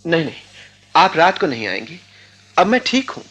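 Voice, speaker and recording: An actor playing a proud, self-effacing poor young student, speaking politely and formally in a Hindi radio drama. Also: male voice, a little high, sing-song, moderate pace, a bit bright. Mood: earnest, reluctant. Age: young adult.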